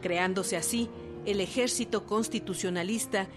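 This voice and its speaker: A woman's voice: medium pitch, moderate pace, music in the background. A woman delivering scripted historical narration for radio.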